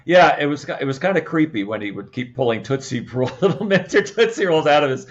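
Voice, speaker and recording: A man chatting casually as a podcast guest, in a halting, word-repeating way, on slightly dull audio. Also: a little high, lively intonation. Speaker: podcast guest, comedy sketch producer. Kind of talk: casual chat.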